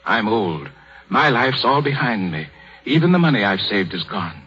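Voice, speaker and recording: An actor playing an aging, anxious surgeon full of regret and self-pity, husky, in a 1930s radio drama. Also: male voice, lowish voice, sing-song, moderate pace, a bit bright, heavily acted. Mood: despairing. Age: older. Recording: dull 1930s radio broadcast with music.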